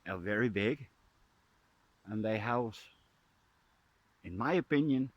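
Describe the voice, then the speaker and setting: A man with a Dutch accent, softly narrating a mushroom hunt in a forest as a nature vlogger. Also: lowish voice, expressive, slow, dry recording, muffled recording. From Netherlands. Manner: soft-spoken, drops to a hushed near-whisper up close. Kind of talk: casual narration while foraging. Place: forest, outdoors.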